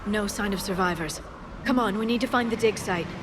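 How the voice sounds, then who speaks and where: A woman's voice: mid-range voice, a little fast, noisy background, natural voice. An actress voicing an earnest, learned female scientist on a squad in a sci-fi video game. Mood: focused.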